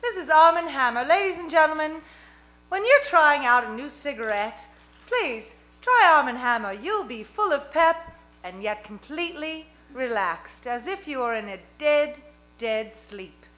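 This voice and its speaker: A woman playing a perky, soothing nurse who pitches products with exaggerated emphasis in a spoof vintage radio ad. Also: expressive, unhurried, fairly bright tone. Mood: gushing salesmanship. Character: perky, soothing female emergency-room nurse selling cigarettes.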